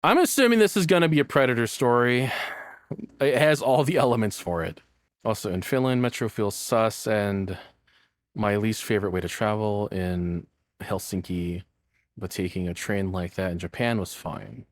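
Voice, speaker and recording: A man's voice: on the low side, normal speed, no echo, clean studio sound. A man giving casual, enthusiastic reaction commentary as a streamer, swearing freely in an informal way.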